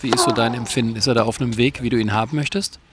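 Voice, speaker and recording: A man's voice: medium pitch, very fast, a loud crowd. A man hosting a casual sports interview, talking in a chatty, colloquial way. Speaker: host and interviewer.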